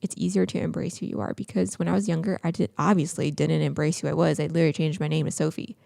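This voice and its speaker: A young woman co-hosts a podcast in a loose, chatty way and fills her talk with small hesitations. Her voice sits low and mellow and has a gravelly fry to it. The recording is dry and close. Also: steady tone, fast talker, no room sound. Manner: chatty, lots of filler words. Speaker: podcast co-host. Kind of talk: casual chat.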